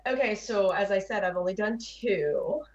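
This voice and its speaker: A woman's voice: medium pitch, expressive, moderate pace. A woman on a gaming panel chatting informally and retelling her experiences, heard over lo-fi voice chat audio. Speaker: show panelist.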